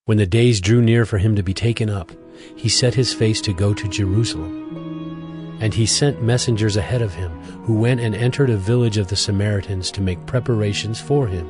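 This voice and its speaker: A man narrating scripture from a script as a measured storyteller. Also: lowish voice. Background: music.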